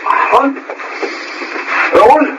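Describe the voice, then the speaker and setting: A Filipino actor playing a respectful, humble, easily startled young working student in a radio drama. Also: male voice, extremely slow. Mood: surprised. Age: young adult. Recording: very noisy radio drama recording.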